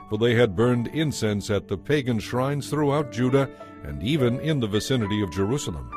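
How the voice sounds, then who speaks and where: A man narrating scripture from a script in a measured, formal storytelling manner. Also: medium pitch, a little mellow.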